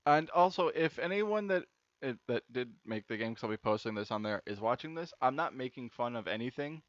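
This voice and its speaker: A man's voice: medium pitch, animated, a little fast, dry recording. Male gaming host, young adult, chatty and loose, close dry sound with a slightly muffled, lo-fi edge. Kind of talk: casual let's-play commentary. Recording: dry, slightly dull lo-fi mic.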